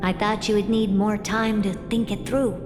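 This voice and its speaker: Aloof all-knowing game sage, female, acted by a woman, deliberate delivery, long reverb, music clearly behind. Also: medium pitch, level tone, close to an everyday voice. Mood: frank, gentle. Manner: measured, deliberate, cryptic. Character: aloof, all-knowing genius woman giving grave counsel. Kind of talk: dramatic video game voice acting.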